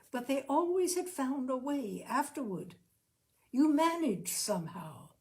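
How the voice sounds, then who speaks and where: A woman narrating a literary short story expressively, with measured, careful phrasing. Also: mid-range voice, warm tone. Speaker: audiobook reader, storyteller.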